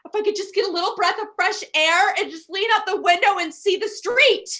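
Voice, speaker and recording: A bedridden woman in a radio drama, very high and piercingly bright in voice. She is nervous and imperious, fretting and complaining with melodramatic indignation. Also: brisk. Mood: exasperated, complaining. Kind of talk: radio play acting.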